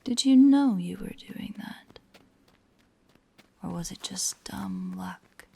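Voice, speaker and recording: A woman playing a predatory, teasing spider-woman monster in a horror audio roleplay, with a breathy, whispery voice and a taunting, menacing manner. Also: mid-range voice, expressive, dark tone, slight background noise, exaggerated voice. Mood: playful menace. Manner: slow, taunting, playfully sinister.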